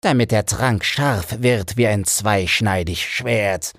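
A man's voice: fairly low pitch, fairly bright tone, no echo, studio quality, full character voice. A man voicing a greedy, menacing female sea witch in a storybook reading, drawing out the words with relish. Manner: slow, drawn-out, relishing menace.

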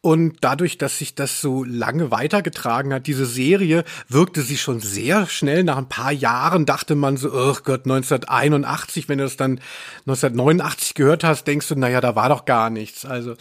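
A man's voice: medium pitch, normal speed. A man co-hosting a podcast, talking casually and in nerdy detail about audio dramas. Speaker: podcast co-host. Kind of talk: casual podcast discussion about radio plays.

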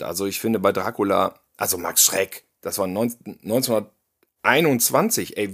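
A man's voice: lowish voice, husky. A man co-hosting a horror film podcast, chatting casually and speaking straight to the listeners. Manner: lively, animated, addresses listeners directly. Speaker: podcast co-host. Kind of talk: casual film review chat.

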